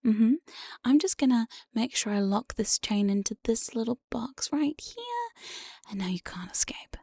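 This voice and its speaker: A woman playing an evil, playful demon jailer in an ASMR roleplay, breathy and often whispering, with a sly, mock-sweet menace, close on the microphone. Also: fairly high pitch, lively intonation, moderate pace, dark tone, dry recording, full character voice. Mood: bossy, menacing. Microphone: close binaural studio microphone, dry.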